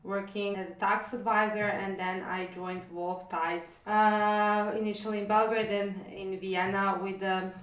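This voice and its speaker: Serbian-accented woman, even and roomy. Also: medium pitch, measured pace, hardly any pauses. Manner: hesitant, many fillers. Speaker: lawyer and podcast co-host. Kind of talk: casual conversation. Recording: roomy echo.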